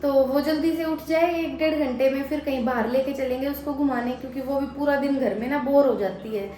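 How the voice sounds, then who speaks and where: A woman's voice: sits high, brisk, mellow, echoey room, slight background noise, rough recording. A woman vlogging about her day in a chatty, easygoing way. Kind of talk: vlog narration.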